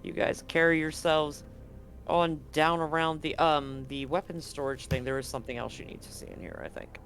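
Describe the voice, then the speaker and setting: Brief: game master, male, slightly high voice, easygoing narration over faint music. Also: fairly even intonation, moderate pace, a bit bright. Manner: casual, with fillers, laughs at the table. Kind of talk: narrating a tabletop roleplaying game.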